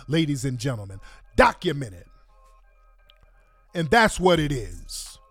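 A man's voice: sits high, dry recording. A congested man ranting bluntly and profanely to his viewers in an exasperated commentary. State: congested from a cold. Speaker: online commentator.

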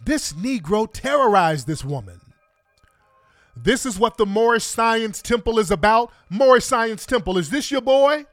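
Congested high-voiced man ranting. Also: big pitch swings, unhurried, no room sound, studio quality, soft background music. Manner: blunt, profane, exasperated. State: congested from a cold. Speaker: online commentator. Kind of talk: ranting commentary to viewers.

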